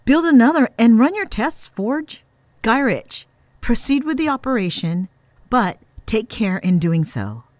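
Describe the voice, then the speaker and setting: An actress playing an authoritative, unbending government superior in an audio drama, commanding and dismissive. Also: female voice, fairly high pitch, sing-song, measured pace, a little mellow, dry recording.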